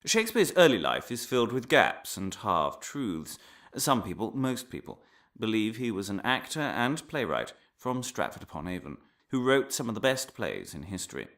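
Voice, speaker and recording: A man's voice: on the low side, big pitch swings, moderate pace, a bit bright, no echo, studio quality. An actor playing an earnest, muddled, pompous male academic obsessed with conspiracy, giving a theatrical, digressive comic lecture. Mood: lecturing, dramatic. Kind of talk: comic monologue delivered as a scholarly lecture.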